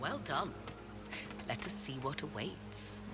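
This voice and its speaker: A haughty, learned sorceress from a fantasy game. Her voice sits low, and she speaks in formal, measured, old-fashioned phrases. Game music plays clearly behind her. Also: animated. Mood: cool, composed. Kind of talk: dramatic video game dialogue. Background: video game music.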